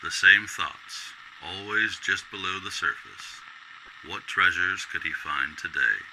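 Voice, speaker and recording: Man, radio drama narrator, very low pitch, intense sharp tone, faint noise. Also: slow, rough recording.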